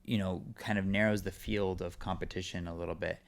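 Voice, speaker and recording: Laid-back young man with a smooth voice. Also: deep voice, fairly quick. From California. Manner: relaxed, rambling with many fillers. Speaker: actor guest. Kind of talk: casual interview chat.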